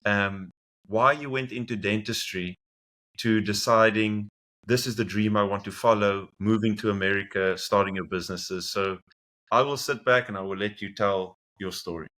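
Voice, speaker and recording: A man's voice: fairly low pitch, steady tone, normal speed, a bit bright. A man with a South African accent hosting an interview and encouraging his guest, with small restarts. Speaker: podcast host.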